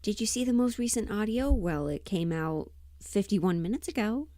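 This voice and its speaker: A woman's voice: mid-range voice, expressive, normal speed, dark tone, no echo. A woman livestreamer thinking aloud casually as she works at her computer, absent-minded and often trailing off. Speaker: livestreamer and audio performer. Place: indoors at a computer, close dry sound. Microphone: desk microphone, sometimes spoken off-axis.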